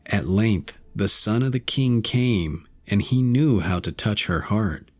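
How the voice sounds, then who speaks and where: A man reading a classic fairy tale as an audiobook narrator, in a measured storytelling manner. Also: fairly low pitch, animated.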